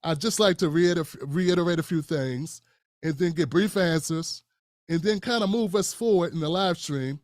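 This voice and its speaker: A man hosting a live-stream interview, speaking in a measured way with frequent fillers and restarts. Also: a little high, no room sound, studio quality. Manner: measured, many fillers and restarts, calls guest brother. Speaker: host.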